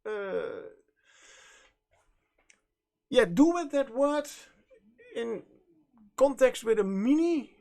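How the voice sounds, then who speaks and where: Dutch accented streamer, super gravelly creaky voice, slow hesitant rambly chat while painting minis. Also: medium pitch, big pitch swings, dark tone. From Netherlands. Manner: hesitant, rambling, self-correcting. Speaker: livestream host and miniature painter.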